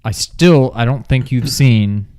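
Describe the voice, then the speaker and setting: A man co-hosting a movie review in informal, unscripted chat, often halting and trailing off mid-thought. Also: medium pitch, darkish tone, clear, resonant tone. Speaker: film review co-host. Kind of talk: casual unscripted movie discussion.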